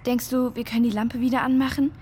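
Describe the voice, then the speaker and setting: A woman's voice: fairly high pitch, little pitch movement, quick pace, natural voice. A woman playing a caring, brave young girl in a children's audio drama. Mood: alert.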